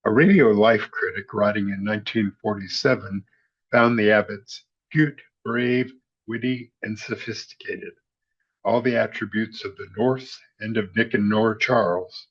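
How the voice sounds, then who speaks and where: A man hosts with scripted, factual narration. His voice is somewhat low and rough-edged, on a slightly muffled recording. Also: fairly even intonation, measured pace. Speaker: podcast host. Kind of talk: scripted narration, reading encyclopedia text.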